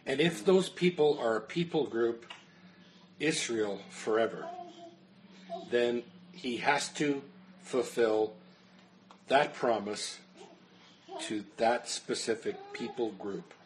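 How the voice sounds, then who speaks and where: A man's voice: medium pitch, slow pace, pauses often, a little hiss or hum, low-quality recording. Pastor losing his voice. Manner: earnest, explanatory, answers questions. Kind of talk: Bible study teaching.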